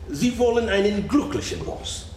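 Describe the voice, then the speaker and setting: A man's voice: sits high, sing-song, moderate pace, darkish tone. A man acting an insistent, sardonic lawyer questioning a witness in a radio docudrama. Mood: pointed, probing. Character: probing, sardonic lawyer cross-examining a witness. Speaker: courtroom examiner questioning a witness. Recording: roomy echo, faint background noise.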